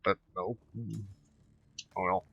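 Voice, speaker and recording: A man giving casual gameplay commentary, halting and muttering to himself as he goes. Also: deep voice, fairly even intonation, gravelly, fairly bright tone, husky, dry recording. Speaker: gaming video host. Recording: dull, dry close home recording.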